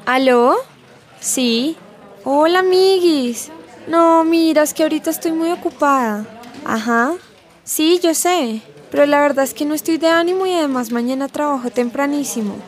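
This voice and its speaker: An actress plays a weary single Colombian woman in a radio soap, chatty and reluctant to go out. The voice is high and rings with long reverb. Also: normal speed, lightly acted. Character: tired, single working woman, weary of nightlife. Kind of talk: radio soap opera acting. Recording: long reverberant hall-like echo.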